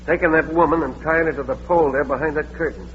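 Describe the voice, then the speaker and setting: A tinny, faintly noisy vintage broadcast of a man acting a small-town police sergeant. His voice sits a little high, and he talks plainly and colloquially as a steady, friendly lawman in a radio mystery. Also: quick pace, close to an everyday voice. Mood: questioning.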